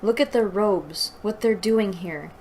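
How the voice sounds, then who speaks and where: Slow-paced woman, exasperated reviewer. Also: mid-range voice, a little mellow, a little hiss or hum. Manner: exasperated, incredulous. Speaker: host of a book review series. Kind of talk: reading aloud with mocking critical commentary.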